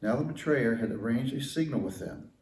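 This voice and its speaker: Mellow man reading scripture, echoey church. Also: mid-range voice, lively intonation, a little fast, far from the mic. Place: large indoor church, distant mic.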